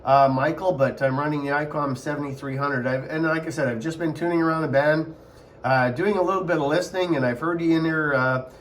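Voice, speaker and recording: A man's voice: a little high, steady tone, brisk, a little hiss or hum. A man chatting casually as an amateur radio operator, repeating himself and spelling call signs phonetically. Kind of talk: casual ham radio contact.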